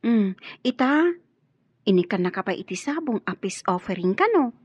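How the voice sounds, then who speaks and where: A woman's voice: mid-range voice, big pitch swings, normal speed, breathy edge, no room sound. A woman playing a teasing, nosy friend and confidante in a radio drama. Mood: coaxing. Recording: muffled, lo-fi radio drama audio.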